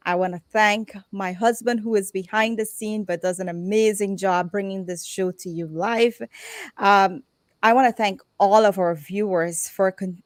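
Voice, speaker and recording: A middle-aged woman hosts a live online show in an effusive, heartfelt way. Her voice sits at an ordinary pitch. The recording is dry, with no room echo. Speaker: talk show host.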